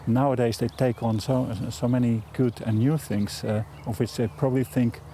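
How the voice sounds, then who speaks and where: Mellow man, hesitant and searching for words. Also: mid-range voice, steady tone, normal speed, a little hiss or hum. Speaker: garden designer.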